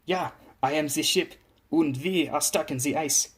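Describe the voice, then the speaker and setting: A man's voice: a little high, unhurried, voice pushed up, natural voice. A man voicing an arrogant, impatient female spirit of a German warship in a story, with a German accent. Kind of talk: dramatised story reading.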